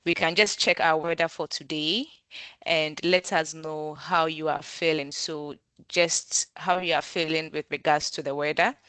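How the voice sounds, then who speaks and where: A woman facilitating an online workshop over a video call, measured and full of um fillers. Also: low voice, steady tone, fairly bright tone, smooth, dry recording.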